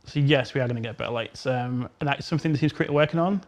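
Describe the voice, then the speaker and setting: A man's voice: medium pitch, very fast. A man explaining technical work casually as a developer on a panel, padding it with "you know". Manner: frequent "you know" asides.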